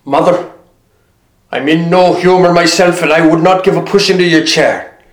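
A storyteller voicing a grumpy son-in-law who speaks low and curt. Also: fairly even intonation, moderate pace, close to an everyday voice. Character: grumpy middle-aged Irish son-in-law. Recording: roomy echo.

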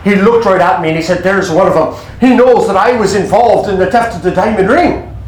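A storyteller plays a guilty, flustered butler from a folk tale. The voice drops low and sounds anxious and gullible, echoing in the room. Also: fairly quick, a little hiss or hum, lightly acted. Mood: alarmed, hushed. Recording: echoey room.

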